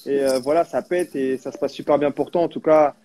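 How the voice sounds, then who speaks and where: Mellow-voiced host, faint noise behind. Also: male voice, on the high side, fairly even intonation, brisk. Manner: repeats words for emphasis. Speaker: host of a football live stream. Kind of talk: casual interview hosting.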